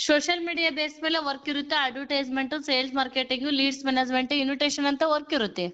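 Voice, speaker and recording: Fast pushy high-voiced woman recruiter on phone. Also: steady tone, a bit bright. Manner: pushy, repeats "okay?" to hurry the listener. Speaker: job recruiter on a phone call. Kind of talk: phone sales pitch. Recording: muffled phone call recording.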